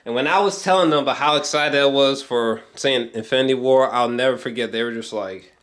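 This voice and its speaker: A man talking off the cuff in a casual film review vlog, excitable and emphatic, rambling, repeating himself and swearing often. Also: medium pitch. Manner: rambling, halting, frequent swearing. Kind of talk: unscripted, casual movie reaction talk.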